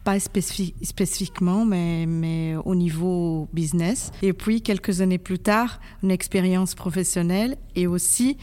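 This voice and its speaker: A woman's voice: lowish voice, fairly even intonation, measured pace, hardly any pauses, warm tone. A Brazilian woman in her forties, a lawyer whose first language is Portuguese, answering in French in a friendly, hesitant way. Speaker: intellectual property lawyer. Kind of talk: podcast interview.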